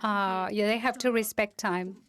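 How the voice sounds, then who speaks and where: A woman's voice: mid-range voice, fairly even intonation, moderate pace, fairly bright tone. A woman interpreting live at an online conference, hesitant and often correcting herself mid-phrase. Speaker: simultaneous interpreter. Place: remote online session.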